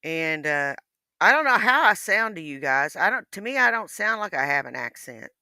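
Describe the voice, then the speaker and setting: A woman with a Southern American accent chats off the cuff. Her voice is bright and forceful, with lively swings in pitch. The delivery is rambling and playful, recorded dry. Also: normal speed, no echo. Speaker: livestreamer. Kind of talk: casual chat.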